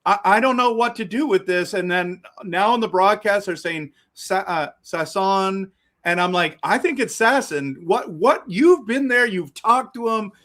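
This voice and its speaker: A man hosts a casual fan interview and asks his questions with open enthusiasm. His voice sits on the high side for a man. He laughs easily and sounds keen. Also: unhurried, a bit bright. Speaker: host of a fan channel, interviewer.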